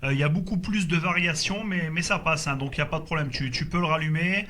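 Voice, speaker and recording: Bright, forceful man on CB radio. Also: fairly high pitch, fast talker. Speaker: amateur CB radio operator recording the group contact. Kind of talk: casual radio contact chat. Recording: faint background noise.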